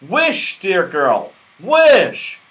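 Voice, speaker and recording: Man voicing an excitable, high-pitched magical moon-faced fellow. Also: extremely slow, exaggerated voice. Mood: frantic, urgent. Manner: yelling and groaning in exclamations. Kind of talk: children's storybook character voice.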